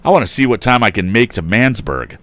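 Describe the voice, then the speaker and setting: A man voicing a brash, boastful teenage boy behind the wheel in a storybook read aloud, loud and cocky. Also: lowish voice, expressive, brisk, voice pushed up. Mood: reckless, defiant.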